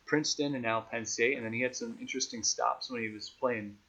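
A man's voice: mid-range voice, steady tone, a little fast, sharp tone. Man in casual interview, dull audio. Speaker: radio play-by-play announcer, podcast guest. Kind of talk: casual conversational interview answers.

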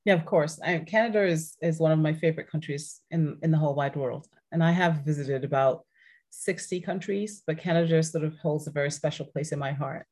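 Low-voiced woman, earnest interview guest. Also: brisk, a little mellow, husky. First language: French. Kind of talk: casual interview conversation.

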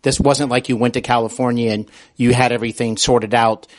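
A man's voice: medium pitch, level tone, brisk. A man interviewing a guest as podcast host, conversational and reflective, with hesitant phrasing.

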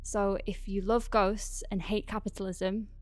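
A woman's voice: mid-range voice, little pitch movement, a little slow, darkish tone, dry recording. A woman podcast host giving a friendly, informal announcement to her listeners in an appreciative way. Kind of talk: scripted thank-you announcement to listeners.

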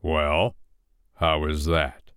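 A man's voice: very deep voice, completely flat delivery, fairly bright tone, clean tone, no room sound, clean studio sound, pitched below the performer's own voice, full character voice. A man voicing a huge, boastful, impatient giant in a storybook tale, roaring and growling his words. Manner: roaring and growling, slow drawn-out speech.

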